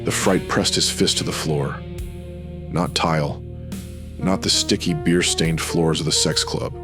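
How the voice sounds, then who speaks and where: Very deep-voiced male noir narrator. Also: fairly even intonation, measured pace, over music. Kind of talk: dramatic scripted noir narration.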